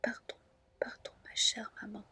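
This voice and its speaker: A woman's voice: normal speed, pushed and bright. A woman voicing a contrite young bride-to-be in a whispered storybook reading, humble and imploring. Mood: pleading, contrite.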